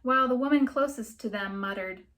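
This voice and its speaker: A woman's voice: a little high, brisk, a bit bright. A woman reading a novel aloud as an audiobook narrator, in scripted story narration.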